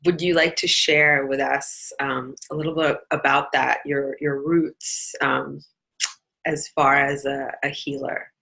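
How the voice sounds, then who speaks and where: Low bright-voiced lady hosting a video call interview, slow and gushing, lots of ums. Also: flat delivery, gravelly. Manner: enthusiastic, many ums. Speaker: summit host. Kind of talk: online interview.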